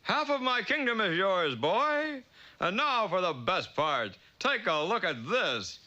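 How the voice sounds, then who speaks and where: A man's voice: sits high, very animated, slow pace, bright, forceful tone, muffled recording, exaggerated voice. A man playing a bossy, gullible fairy-tale king in a comic cartoon. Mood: delighted, boastful. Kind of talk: comic cartoon character acting.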